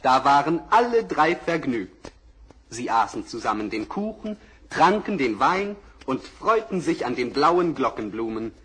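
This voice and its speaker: A man's voice: mid-range voice, very animated, measured pace, a bit bright. A man narrating a fairy tale for children, addressing the listeners directly, in a 1960s radio play. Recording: crackly 1960s vinyl record.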